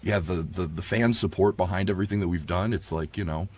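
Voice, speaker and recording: Effusive low-voiced man in casual interview. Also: quick pace, a little mellow. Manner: effusive, enthusiastic. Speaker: audiobook narrator and guest.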